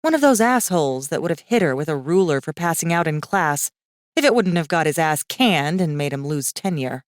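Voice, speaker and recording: A woman narrating a fiction audiobook in an expressive, scripted storytelling style. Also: sits low, brisk, dry recording, clean studio sound.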